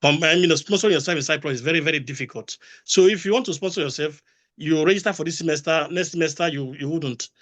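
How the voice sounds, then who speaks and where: Hesitant Nigerian man, fairly high voice. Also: fast talker, fairly bright tone. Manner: hesitant, many fillers and repeated words. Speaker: mentor. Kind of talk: casual panel talk.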